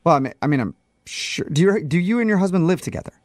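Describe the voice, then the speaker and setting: A man's voice: a little high, expressive, fast talker, no room sound, pitched above the performer's own voice, close to an everyday voice. A man playing a chatty county court clerk on a prank phone call, casual, evasive and amused as he breaks awkward news.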